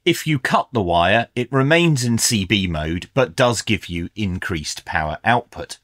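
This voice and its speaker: A man's voice: fairly low pitch, animated, unhurried, a bit bright, no echo, studio quality. A middle-aged man giving a methodical, explanatory product review in an instructional style. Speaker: radio reviewer, presenter.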